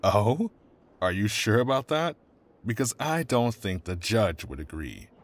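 Man playing very deep, smug defense lawyer. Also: big pitch swings, measured pace. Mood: smug, taunting. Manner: smug, mock-friendly, taunting. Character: smug, slick male corporate defense attorney, needling. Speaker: defense attorney. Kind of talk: audio drama acting.

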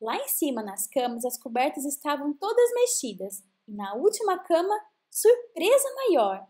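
High-pitched Brazilian woman, animated storyteller. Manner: expressive, drawn-out emphasis. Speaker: children's storyteller. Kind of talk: scripted fairy-tale narration for children.

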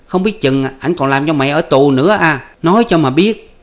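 A man voicing a sly, foul-mouthed peasant wife who scolds and curses in a high, loud voice. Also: lively intonation, moderate pace, natural voice. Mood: scolding, cursing. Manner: shrill scolding and cursing. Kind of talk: storybook reading.

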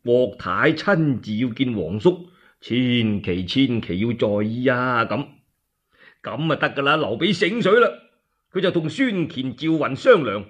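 A man telling a story for radio in colloquial Cantonese, dramatic and animated, with chatty asides to the listeners. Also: medium pitch, unhurried, husky. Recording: dull lo-fi radio recording.

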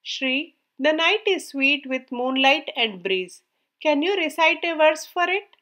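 A courteous young prince from a storybook, read by a woman, speaks of love. The voice is high and polite. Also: expressive, takes it slowly, bright, forceful tone, full character voice. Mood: tender, courteous.

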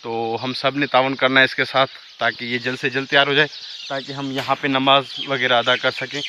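Chatty village vlogger with a bright voice. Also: male voice, medium pitch, flat delivery, normal speed, slight background noise. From Pakistan. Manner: chatty, walks and points out surroundings, teases friends. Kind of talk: casual vlog narration. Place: outdoors in a village among fields. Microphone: phone held at arm's length, selfie framing.

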